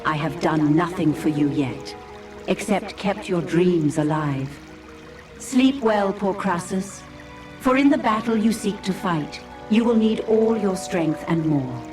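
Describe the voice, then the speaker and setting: A woman voicing an aloof, enigmatic dragon of dreams in a fantasy game cutscene, measured and solemn, with music behind. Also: fairly low pitch, expressive. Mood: solemn, pitying.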